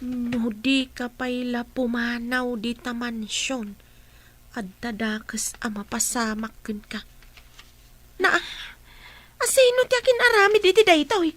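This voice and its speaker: A woman playing a chatty, fussing, protective older household woman in an audio drama, exclamatory and animated. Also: on the high side, measured pace, long pauses. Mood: alarmed, insistent.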